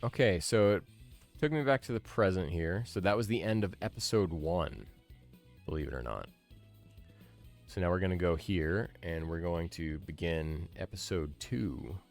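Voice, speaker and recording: A man's voice: low-pitched, lively intonation, normal speed. A man in his thirties giving casual game commentary as a streamer, with wry, grumbling asides. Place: small indoor room, livestream setup. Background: game music.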